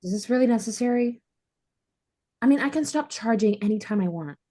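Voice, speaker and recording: Woman acting high-voiced, spoiled young woman. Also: lively intonation, a little fast, mellow. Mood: reluctant. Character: Rachel, spoiled, sheltered, pleading young woman. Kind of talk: sitcom script table read.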